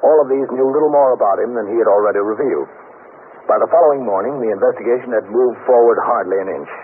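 Actor as a clipped, fast-talking American police captain. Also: male voice, mid-range voice, phone-quality sound, close to an everyday voice. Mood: matter-of-fact. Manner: clipped, matter-of-fact. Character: businesslike police precinct captain, narrating his cases. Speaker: narrator and lead actor. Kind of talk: 1950s radio police drama acting. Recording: thin, band-limited 1950s radio recording, noisy.

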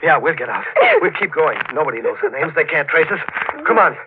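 A man plays a young newlywed husband who has become an accidental killer. He talks in terse, agitated slang with a fairly high voice, in 1940s radio mystery style, through a thin band and steady hiss. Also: brisk, natural voice. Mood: urgent. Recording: noisy, narrow-band old radio recording.

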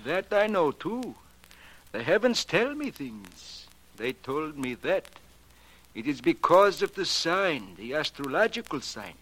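Slow-talking, superstitious foreign janitor. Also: male voice, a little high, big pitch swings, a bit bright, full character voice. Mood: ominous warning. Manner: formal uncontracted English with ominous pronouncements. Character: earnest, humble foreign janitor who believes in astrology. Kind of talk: 1940s radio drama acting. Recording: noisy vintage 1940s radio recording.

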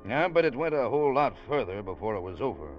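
An actor playing a wry, easygoing ship's officer, narrating in a folksy, conversational way in an old radio drama. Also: male voice, medium pitch, big pitch swings, fast talker, faint music behind, lightly acted. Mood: wry, reminiscing. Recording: dull vintage radio recording.

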